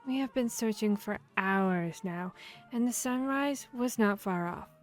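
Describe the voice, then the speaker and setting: A woman playing a boastful, playful vampire hunter in a fantasy audio roleplay, speaking in a theatrical, expressive storytelling manner. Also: mid-range voice, measured pace, close to an everyday voice. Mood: dramatic, proud. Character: boastful, playful female vampire hunter telling tavern tales. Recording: music behind the voice. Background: background music, tavern ambience.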